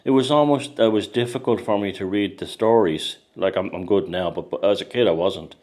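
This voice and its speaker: A man's voice: fairly low pitch, fairly quick, a little mellow. An Irish man, an author, chatting casually and rambling, with many restarts and repeated words. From Ireland. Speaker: author and host. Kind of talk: casual conversation.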